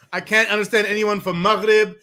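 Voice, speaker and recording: Bright-voiced male podcast host. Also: high voice. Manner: thinking aloud, friendly, hedging with "you know". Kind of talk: casual conversation.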